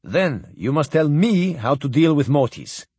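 A man's voice: on the high side, big pitch swings, measured pace, darkish tone, breathy edge, dry recording, full character voice. A man voicing a proud, formal old admiral who speaks in clipped phrases without articles. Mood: ceremonious. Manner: clipped speech dropping articles.

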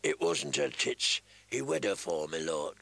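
A man voicing a foul-smelling male prisoner who speaks roughly and humbly to his captor. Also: sing-song, measured pace, a bit bright, no room sound, full character voice. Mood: servile. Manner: rough, low-class speech.

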